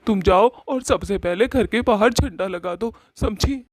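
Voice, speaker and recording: A man's voice: high voice, unhurried, no room sound, studio quality. A man voicing a fearful old villager in a cartoon story, repeating words in a pleading, agitated way. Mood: shaken. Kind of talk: Hindi cartoon story voice acting.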